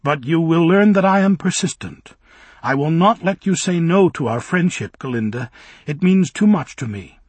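A man's voice: fairly high pitch, lively intonation, moderate pace, a little mellow, breathy edge, no room sound, voice pushed up. Man doing an earnest, formal young suitor. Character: earnest, stiff young male student.

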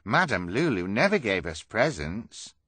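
A man voicing an excitable, easily impressed male carnival freak, exclaiming emphatically in an audiobook. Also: on the low side, unhurried, slightly rough, no room sound, pitched above the performer's own voice, exaggerated voice. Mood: amazed.

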